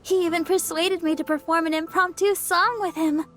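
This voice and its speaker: An actress playing a sweet, earnest young girl in a video game, gentle and a little bashful. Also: extremely high pitch, steady tone, moderate pace. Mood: sweet, admiring. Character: sweet, earnest young church idol girl, modest and helpful. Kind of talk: video game cutscene acting.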